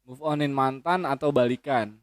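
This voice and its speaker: A man's voice: medium pitch, normal speed, no echo, studio quality. A man, chatting as a guest in a rambling, slangy way with lots of swearing and restarts. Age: 20s. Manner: rambling, frequent swearing and self-correcting hesitations. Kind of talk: casual chat.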